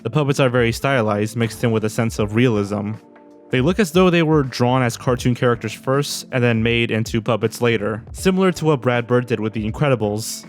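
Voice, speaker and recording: A man's voice: medium pitch, a little fast, soft background music. A man narrating a countdown video from a script.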